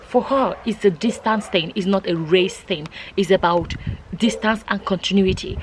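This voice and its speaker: A woman's voice: mid-range voice, normal speed. A woman vlogger talking casually to her viewers, explaining and introducing a recorded conversation. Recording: noticeable ambient background noise.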